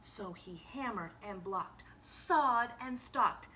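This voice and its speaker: A woman reading a picture book aloud like a teacher to young children, expressive and dramatic, with hushed sound words. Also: mid-range voice, slow pace, very noisy.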